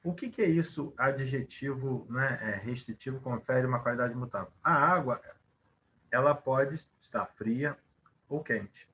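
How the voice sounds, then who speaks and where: A man's voice: mid-range voice, fairly bright tone, a little hiss or hum. A man teaching a Portuguese grammar lesson, explaining at length, asking rhetorical questions and using many fillers.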